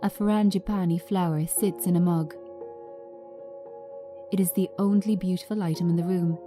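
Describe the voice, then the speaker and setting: A woman giving a scripted narration of screenplay directions in a measured, understated manner. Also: fairly low pitch, fairly even intonation, over music.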